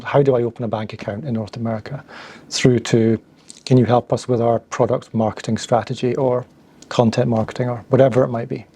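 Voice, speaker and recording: A middle-aged man from Scotland with a Scottish accent, answering interview questions in a relaxed way and often repeating words as he thinks. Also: medium pitch, breathy edge. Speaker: guest, agency founder.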